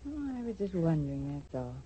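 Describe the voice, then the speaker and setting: A gentle middle-aged mother from a 1940s radio soap, with a low voice. She sounds dark and slightly husky, and she speaks with earnest, hesitant tenderness as she worries over her grown child. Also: sing-song, fairly quick, lo-fi sound, full character voice. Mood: hesitant concern.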